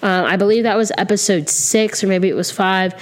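A woman's voice: mid-range voice, fairly even intonation, brisk. A woman in her twenties hosting her own podcast alone, slightly sick and a little nasal, rambling and candid with lots of ums, sitting close to the mic. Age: 20s. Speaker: podcast host. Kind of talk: casual solo podcast chat. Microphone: close to the microphone.

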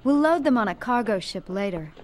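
A woman's voice: on the high side, animated, fairly quick, darkish tone, slight background noise, close to an everyday voice. An actress playing an earnest, determined young Jedi woman in a space fantasy audio drama. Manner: earnest, urgent.

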